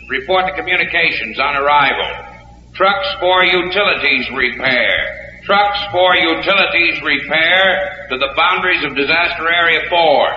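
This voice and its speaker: An actor playing an official emergency dispatcher, formal and deliberate, in 1940s radio drama style. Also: male voice, fairly high pitch, close to an everyday voice. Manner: formal, deliberate, repeats orders. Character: official male emergency dispatcher broadcasting orders. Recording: echoing public-address sound, dull, faint noise.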